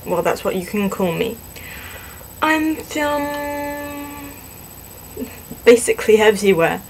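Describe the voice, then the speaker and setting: A young woman vlogging at home, chatting about herself into a camera mic with a slightly high voice. She takes her time, pausing often and filling gaps with ums, and pokes fun at herself. A faint hiss sits under the recording. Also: expressive. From born in Singapore, grew up in the southwest US. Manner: chatty and self-deprecating, slow with many pauses and ums. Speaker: vlogger in a fan collab channel. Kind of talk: casual chat answering questions to camera.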